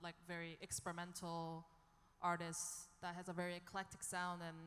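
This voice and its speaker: A woman's voice: on the low side, monotone, moderate pace, fairly bright tone, clear, resonant tone. A woman, a musician, talking casually and unscripted on a panel. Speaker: experimental music artist on a panel. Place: hall at a music conference panel. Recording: echoey hall with long reverb.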